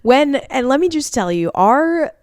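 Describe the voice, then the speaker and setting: A young woman co-hosting a casual podcast, reacting with quick, animated asides. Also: high-pitched, no echo, clean studio sound. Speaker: podcast co-host. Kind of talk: casual chat.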